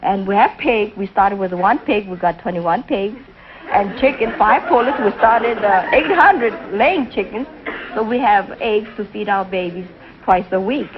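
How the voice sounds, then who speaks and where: A woman guest on a quiz show who speaks with eager earnestness. Her voice comes through a muffled old broadcast recording with a faint hiss. Her pitch sits in the middle for a woman. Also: unhurried. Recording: dull noisy 1950s broadcast recording.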